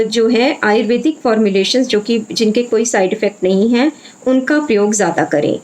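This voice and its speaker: A woman's voice: fairly high pitch, darkish tone, a little hiss or hum, low-quality recording. A woman speaking as an Ayurvedic doctor, giving an informal health talk with frequent hesitations and phrases mixed in.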